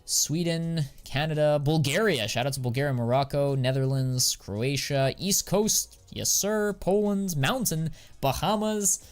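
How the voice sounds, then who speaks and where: A young American man chatting casually on a live stream, answering viewers in a relaxed, slangy way. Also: on the high side, a little slow. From United States. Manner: slangy and laid-back, drawls filler words.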